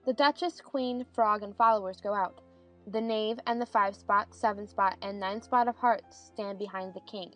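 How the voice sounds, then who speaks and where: A woman's voice: medium pitch, steady tone, normal speed, soft background music. A woman giving scripted narration of stage directions for a dramatized audiobook.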